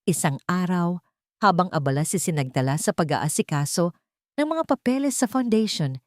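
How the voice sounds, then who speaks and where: A woman storyteller narrates a sentimental romance in a scripted reading style. Her voice sits on the low side, and the sound is dry and close, with little room to it. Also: lively intonation, moderate pace, a little mellow, no room sound.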